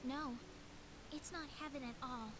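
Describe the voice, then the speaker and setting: A woman playing a gentle young girl heroine in a video game. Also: high voice, fairly even intonation, a little slow, noisy background. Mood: gentle, reassuring.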